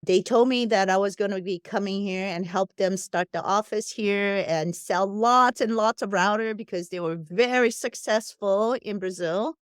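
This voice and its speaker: A woman's voice: mid-range voice, moderate pace, hardly any pauses, clear, resonant tone, dry recording, studio quality. A woman with a non-native English accent, a company founder speaking frankly and laughing easily as a podcast guest. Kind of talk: casual podcast conversation.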